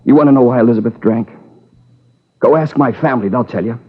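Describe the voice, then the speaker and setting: An actor playing a tormented man in his twenties, anguished, defensive and halting, in a 1950s radio crime drama. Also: mid-range voice, lightly acted. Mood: bitter, defensive. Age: 20s. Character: tormented young man confessing to killing his lover. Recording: muffled old 1950s radio recording.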